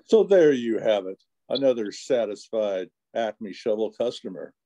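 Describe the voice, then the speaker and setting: Man playing a mellow old-time radio announcer. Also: on the low side, animated, measured pace. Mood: upbeat, presenting. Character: brisk male radio show announcer.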